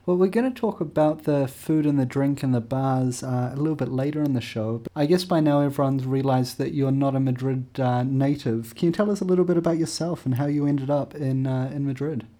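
A man hosting a travel podcast, reading sponsor announcements and asking interview questions in a relaxed way, with frequent "uh"s and restarts. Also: mid-range voice, brisk, few pauses. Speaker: podcast host.

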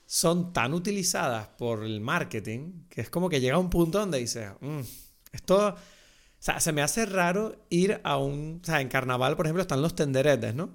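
A young man with a Canarian accent, co-hosting a casual film podcast, animated and quick to laugh, acting out quoted lines. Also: on the high side, creaky. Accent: Canarian Spanish. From Canary Islands. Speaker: podcast co-host.

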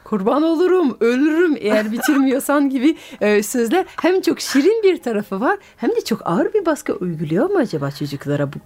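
Lively-voiced woman hosting radio talk. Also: high voice, a little fast, hardly any pauses. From France. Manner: curious, questioning, finishes the guest's sentences. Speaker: radio host and storyteller. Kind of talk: radio interview.

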